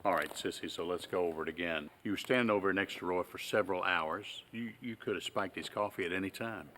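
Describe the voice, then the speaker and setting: A man acting a low-key, patient small-town sheriff with a Southern accent and a folksy, hesitant manner. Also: quick pace, pushed and bright, slight background noise. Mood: firm, patient. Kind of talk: low-budget film dialogue.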